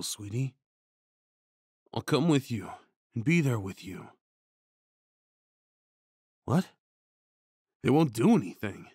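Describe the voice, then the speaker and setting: A man performing an ASMR comfort roleplay as a tender, worried boyfriend, speaking in a hushed, soothing way with sighs and shushing. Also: medium pitch, slightly rough, dry recording, studio quality.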